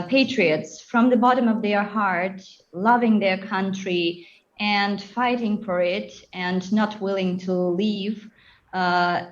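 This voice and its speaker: A woman from Ukraine speaks slowly and earnestly, with hesitant pauses between phrases. Her voice sits at an ordinary middle pitch. The sound is slightly dull and muffled, as if it came in over a remote link. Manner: earnest, measured, with many "uh" pauses. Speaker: guest. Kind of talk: radio interview.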